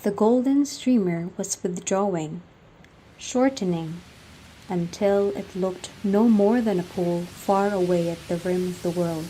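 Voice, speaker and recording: A young woman narrates a literary radio drama with a Filipino accent. She reads very slowly and solemnly in a mellow tone. A little background noise runs underneath. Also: on the low side, low-quality recording. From Philippines. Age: young adult. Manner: measured, solemn storytelling.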